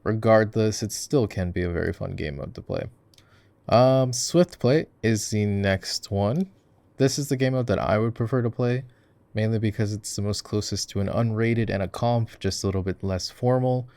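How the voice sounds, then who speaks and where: A man acts out an easygoing gamer boyfriend with a fairly deep voice full of vocal fry. He chats casually and affectionately, teasing his partner as he coaches in a roleplay over gameplay. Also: normal speed, a little mellow, no echo, close to an everyday voice. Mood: easygoing, explaining. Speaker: voice actor. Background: keyboard typing and mouse clicking.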